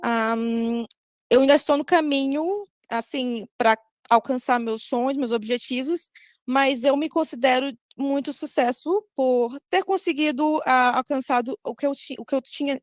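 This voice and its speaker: A young woman from Brazil with a Brazilian Portuguese accent, her voice sitting a little high. She speaks casually over a video call, pausing often and correcting herself as she goes. The sound is dry and close, with no room echo. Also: faint music behind. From Brazil, Maranhão. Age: young adult. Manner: hesitant, many fillers and self-corrections. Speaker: guest speaker giving advice to students.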